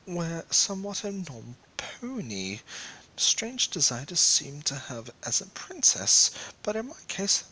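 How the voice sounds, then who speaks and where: A man giving a theatrical amateur dramatic reading of a first-person story, with breathy asides. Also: medium pitch, pushed and bright, slight background noise, low-quality recording. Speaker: amateur fan fiction reader.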